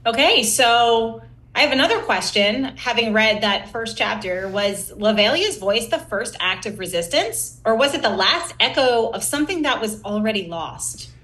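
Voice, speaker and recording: A woman's voice: fairly high pitch, animated, normal speed, fairly bright tone. A woman co-hosting a podcast, asking questions in a conversational interview style. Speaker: podcast co-host. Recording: roomy, echoey sound.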